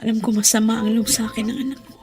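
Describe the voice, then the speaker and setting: A woman's voice: on the high side, fairly even intonation, rapid-fire, darkish tone, soft background music, natural voice. An actress playing a wronged wife and mother in a Filipino radio drama, melodramatic and pleading, with a Filipino accent. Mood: sorrowful, subdued. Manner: tearful, melodramatic delivery.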